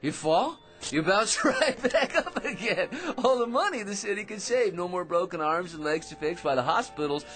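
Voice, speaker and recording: An actor in a radio drama playing a boring, tipsy barfly who rambles, stammers and chuckles to himself. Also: male voice, sits high, animated, moderate pace, fairly bright tone, soft background music. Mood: tipsy, jovial.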